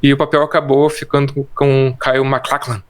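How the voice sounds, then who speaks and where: A man's voice: mid-range voice, little pitch movement, fairly quick. A man co-hosting a casual film podcast, reading trivia and quotes from his notes with hesitant restarts. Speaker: podcast co-host.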